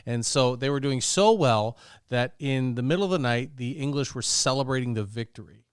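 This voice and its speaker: Confident man, clear and dry. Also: medium pitch, animated, normal speed, dry recording. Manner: confident, profane. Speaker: talk show panelist. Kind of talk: casual storytelling banter.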